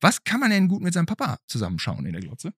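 A man, middle-aged, hosting and telling stories in a casual, chatty way with a husky voice. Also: a little high, fast talker, no room sound, clean studio sound. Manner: animated, chatty. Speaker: host. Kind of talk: casual storytelling and chat with a child.

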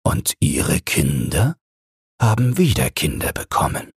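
An old seafaring husband acted by a male reader looks back fondly in a bedtime storybook tale. He speaks very low and slowly, with a crackling, husky edge. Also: sing-song, no room sound, studio quality, full character voice. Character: old retired sailor, affectionate, reminiscing husband. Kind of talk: audiobook fairy-tale character reading.

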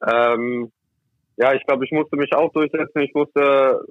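Young male athlete, casual interview guest, halting delivery, medium pitch, dull lo-fi sound. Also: steady tone, no echo. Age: young adult. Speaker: ice hockey player, interviewed guest. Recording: muffled, dull lo-fi audio.

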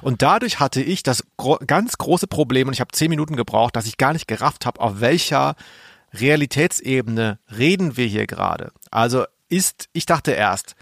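A man's voice: mid-range voice, expressive, normal speed, vocal fry, fairly bright tone, dry recording, clean studio sound. A man co-hosting a podcast, chatting casually in a bemused, emphatic, colloquial way. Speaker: podcast co-host. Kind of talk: casual review chat.

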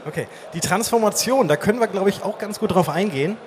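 A man's voice: high-pitched, animated, quick pace. A man hosting a casual interview on stage at a live event. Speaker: interview host. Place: live stage at a trade fair. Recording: faint background noise, live event.

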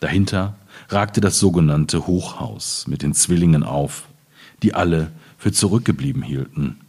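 A man from Germany's Ruhr region, a poet at a literary reading, speaking in a husky, deliberate, measured way. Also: low voice, a little mellow. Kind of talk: poetry reading with informal commentary.

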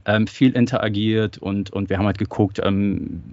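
A man's voice: on the low side, fairly even intonation, moderate pace, darkish tone, no echo. A man who grew up in Germany, speaking as a podcast guest in a casual interview, hesitant with many fillers and restarts. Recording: dull lo-fi dry sound.

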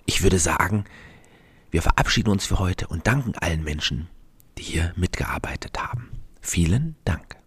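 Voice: deep voice, animated, normal speed, husky, dry recording. A narrator hosting a radio play podcast for children, speaking to the listeners.

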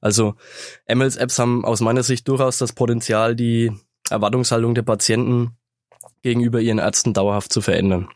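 A man with a slight cold talks through a business topic at an even, measured pace, often tagging his points with a quick "ne?". His voice sits at an ordinary middle pitch. The studio sound is close and dry. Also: no room sound. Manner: measured, explanatory, with "ne?" tags. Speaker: sales manager, interview guest. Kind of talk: business interview answers.

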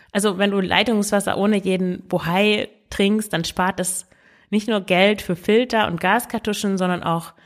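A woman hosting a podcast with frugal living tips, talking in a chatty, direct way to her listener while she has a cold. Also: fairly low pitch. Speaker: podcast host. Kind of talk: casual money-saving tips podcast.

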